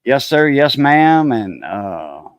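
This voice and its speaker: A man's voice: mid-range voice, very animated, slow, smooth, no echo, lo-fi sound. A man about to turn sixty chatting casually on a live stream, laid-back, laughing easily and using many fillers. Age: 50s. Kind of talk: casual chat.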